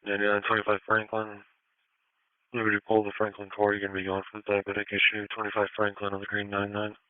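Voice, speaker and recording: A male dispatcher sends out units in a procedural, matter-of-fact way. His voice is low, clean and extremely flat, squeezed through a thin radio channel. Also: fast, dry recording. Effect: two-way radio. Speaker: ambulance dispatcher. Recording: thin narrowband scanner radio.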